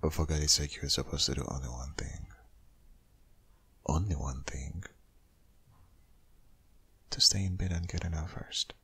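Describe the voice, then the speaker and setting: A man playing a protective, worried boyfriend in an intimate ASMR audio roleplay, speaking insistently in a husky, half-whispered voice. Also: measured pace, long pauses, fairly bright tone, exaggerated voice. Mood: exasperated, concerned. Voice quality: very deep, gravelly, husky, often half-whispered.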